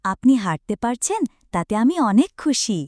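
A woman voicing a busy, scolding but soft-hearted village mother in a cartoon. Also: fairly high pitch, very animated, moderate pace, no room sound, clean studio sound. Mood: surprised.

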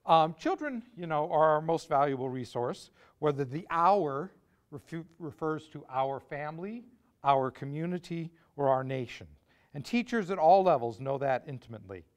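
Man reading a measured introduction. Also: fairly high pitch, animated. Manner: measured, listing words one by one. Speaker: host introducing the speaker. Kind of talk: scripted introduction. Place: lecture hall.

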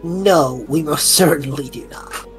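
A woman playing a tabletop roleplaying game, speaking casually and haltingly in character. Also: sits low, soft background music.

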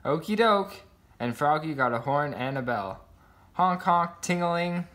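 A man's voice: a little high, measured pace, a bit bright. A man reading a children's picture book aloud, voicing the sound words expressively. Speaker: storybook reader.

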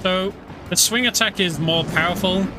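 A man's voice: sits high, normal speed. Chatty British man over game music. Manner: chatty, explains the game with many fillers. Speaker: streamer playing a video game. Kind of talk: casual let's-play commentary. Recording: game music clearly audible underneath.

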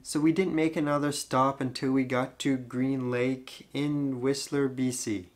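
A young man narrating his road trip vlog in a relaxed, easygoing way. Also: medium pitch, a little slow, a little hiss or hum. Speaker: travel vlogger.

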